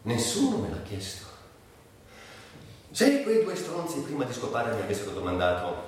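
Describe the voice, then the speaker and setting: A man's voice: medium pitch, wildly swooping pitch, normal speed. An actor playing a bitter, sardonic, tormented man confined by illness in a theatrical monologue, volatile between muttering and declaiming. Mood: sullen contempt. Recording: very echoey, distant, faint noise.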